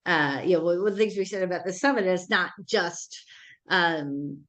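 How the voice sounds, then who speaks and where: A woman hosting an interview, asking long questions full of fillers and pauses. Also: fairly low pitch, a bit bright. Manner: halting questions with many fillers. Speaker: interviewer, summit host. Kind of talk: conversational interview.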